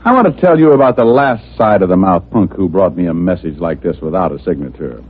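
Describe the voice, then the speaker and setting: A tinny vintage radio recording of a hard-boiled private eye. His low voice moves freely up and down as he delivers wry, sardonic lines. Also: lively intonation, a little fast. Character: sharp-witted, wisecracking hard-boiled male private detective. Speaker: lead detective and narrator. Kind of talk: old-time radio detective drama, narration and dialogue.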